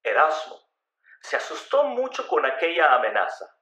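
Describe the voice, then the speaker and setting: A man reading a scripted story in a measured, dramatic storytelling way with emphatic phrasing. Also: on the high side, wildly swooping pitch, sharp tone. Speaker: narrator of a radio story. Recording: roomy, echoey voice recording.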